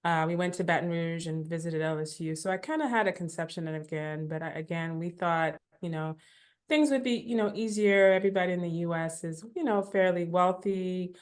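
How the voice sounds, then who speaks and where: A woman's voice: fairly low pitch, fairly quick, smooth. A woman from Africa, a podcast guest, telling her immigration story in a reflective, casual way, full of "you know" asides.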